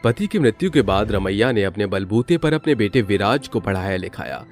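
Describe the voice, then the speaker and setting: Animated male storyteller over music. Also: fairly low pitch, moderate pace. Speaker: story narrator. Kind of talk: scripted dramatic storytelling.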